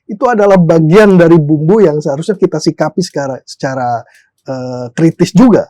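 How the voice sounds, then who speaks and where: A man's voice: a little high, fairly quick, mellow, no echo. A man co-hosting a conversational political discussion.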